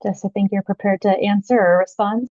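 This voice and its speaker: A woman's voice: mid-range voice, fairly even intonation, fairly quick, warm tone, no room sound. A woman moderating a webinar on a video call, speaking in a friendly way with frequent "um" fillers. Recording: dull lo-fi call audio.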